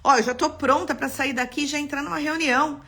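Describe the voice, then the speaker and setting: Woman, Paulista accent, architect hosting a live lesson; bright, expressive delivery. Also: high-pitched, fairly quick. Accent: Paulista Brazilian Portuguese. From São Paulo, Brazil. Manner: expressive, greets viewers by name. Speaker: architect teaching a live class.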